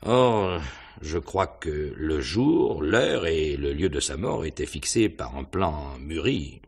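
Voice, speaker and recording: A man's voice: very deep voice, lively intonation, takes it slowly, vocal fry, breathy edge, exaggerated voice. An actor playing a courteous, probing police inspector in a 1950s-style French radio mystery, hesitant and musing as he questions.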